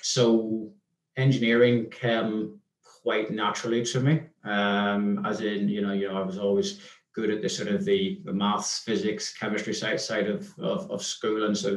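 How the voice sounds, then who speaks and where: A man's voice: fairly low pitch, little pitch movement, moderate pace. Hesitant Northern Irish man, echoey. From Northern Ireland. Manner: hesitant, many restarts and ums. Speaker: guest. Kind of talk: casual interview chat. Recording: echoey room.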